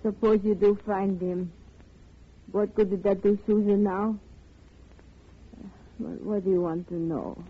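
An actress, speaking halting, sorrowful broken English. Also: female voice, mid-range voice, steady tone, husky. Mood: weary, bitter. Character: grieving, weary immigrant mother, listless and resigned. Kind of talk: 1950s radio drama acting. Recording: muffled, tinny old radio recording.

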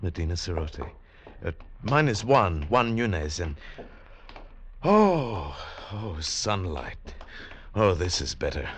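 An actor playing an earnest, intense adventurer recounting his ordeal in 1940s radio drama, in halting dramatic speech. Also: deep voice, sing-song, a little hiss or hum, exaggerated voice. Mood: relieved, tender.

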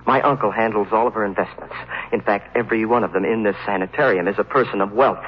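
A man's voice: fairly low pitch, fairly even intonation, fast talker, natural voice. An actor playing a frightened, paranoid young man in an old radio drama, talking urgently. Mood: hushed. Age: young adult. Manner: urgent, rising to near-shouts when frightened. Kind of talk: 1930s radio drama acting. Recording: muffled old radio broadcast.

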